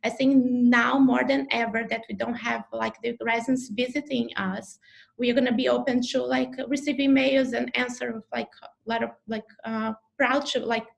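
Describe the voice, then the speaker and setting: A woman's voice: on the high side, fairly even intonation, normal speed, gravelly, rough recording. A neurologist from Brazil with a Brazilian Portuguese accent gives advice over a video call. Her Portuguese-first English is hesitant and full of fillers and restarts. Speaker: neurologist faculty member. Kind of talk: advice talk in an online info session.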